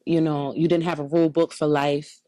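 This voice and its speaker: A woman's voice: low voice, little pitch movement, fairly quick, mellow, dry recording. A young woman from Camden, New Jersey hosting a seated interview, reflecting back and affirming her guest's story in a small indoor studio. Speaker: interview host.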